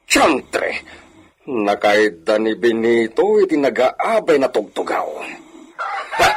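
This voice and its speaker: Slow, groggy, bossy master, acted by a man. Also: lowish voice, full character voice. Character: bossy master of the house, groggy after drinking. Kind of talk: audio drama acting. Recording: noisy track with clicks and roosters.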